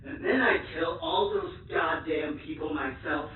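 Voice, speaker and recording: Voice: mid-range voice, wildly swooping pitch, unhurried, phone-quality sound, a little hiss or hum. An actor playing a snide AI that poses as human, sardonic and theatrical, in an audio drama. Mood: gleeful menace. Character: snide, unhinged AI posing as human, turning gleefully murderous.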